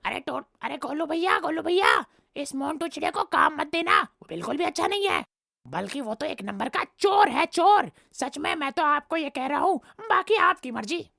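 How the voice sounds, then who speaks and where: A scheming crow villain, put on by a woman for a cartoon, with a high, heavily gravelly voice. He gossips and tattles in a sneaky, jealous way, and his pitch jumps about. The recording is dry and close. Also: expressive, normal speed, pushed and bright, no echo, exaggerated voice. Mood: tattling. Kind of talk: cartoon voice acting.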